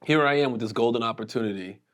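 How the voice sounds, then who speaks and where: A man hosting a casual chat, relaxed and loose, laughing often. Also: medium pitch, fast talker.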